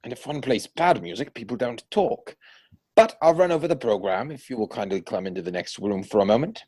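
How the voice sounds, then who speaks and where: A man playing a flippant young Victorian gentleman in a stage comedy reading, with glib, mock-earnest theatrical delivery. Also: medium pitch, expressive, fairly quick, breathy edge. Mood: smooth, coaxing.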